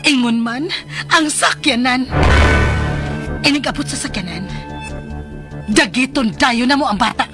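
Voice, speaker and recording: A woman's voice: high voice, measured pace, long pauses, over loud music. A woman acting in a radio drama as a scheming, vengeful villainess, delivering menacing monologues. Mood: gloating menace. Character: scheming, vengeful villainess plotting with hired goons.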